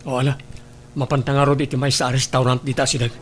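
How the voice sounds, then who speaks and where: A man's voice: medium pitch, little pitch movement, fast talker, loud music behind the voice, natural voice. A man playing an evasive, defensive husband and father in an Ilocano family radio drama, making insistent excuses. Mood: secretive, subdued.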